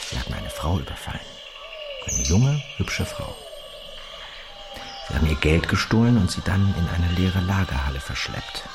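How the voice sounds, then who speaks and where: A gravelly, very deep detective. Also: male voice, heavily acted. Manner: dry, clipped, telling a violent story as a bedtime tale. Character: hard-edged male police detective, father. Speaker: police detective. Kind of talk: radio play acting. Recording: heavy music under voice.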